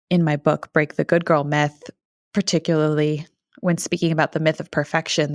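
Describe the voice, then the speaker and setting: Soft-pitched reflective woman, dry sound. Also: no room sound. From Canada. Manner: reflective, thoughtful, frequent fillers and small laughs. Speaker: podcast guest. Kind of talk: conversational interview.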